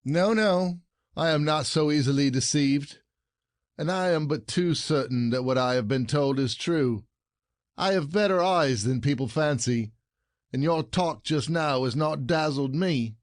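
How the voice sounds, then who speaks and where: An indignant husband, acted by a man in a classic stage comedy audio play. His voice sits fairly high and is recorded dry and close. He scolds in formal phrasing, proud, jealous and sarcastic. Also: a little slow, no echo, lightly acted.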